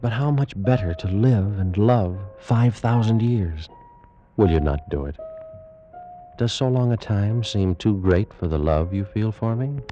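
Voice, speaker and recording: A man's voice: fairly low pitch, animated, measured pace. A man acting an ardent, anguished Egyptian priest in an old-time radio drama, speaking in a solemn, formal, declamatory manner. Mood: ardent, urging. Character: ardent ancient Egyptian priest, anguished lover telling his past. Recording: muffled vintage radio recording with music.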